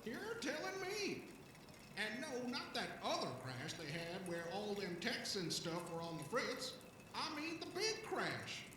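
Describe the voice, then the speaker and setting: High-pitched, wildly swooping old vagrant. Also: male voice, wildly swooping pitch, measured pace, very echoey, far from the mic, background noise, full character voice. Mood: eager, animated. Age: older. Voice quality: rough, coarse voice. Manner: folksy, rambling, stammering, talks of himself in third person. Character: shabby, rambling, excitable centuries-old alien vagrant. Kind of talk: audio drama character acting.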